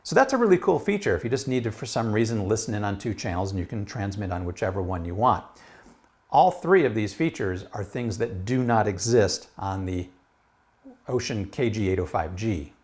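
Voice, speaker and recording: A man's voice: lowish voice, lively intonation, moderate pace, darkish tone. A man giving a casual product review in a conversational, emphatic way.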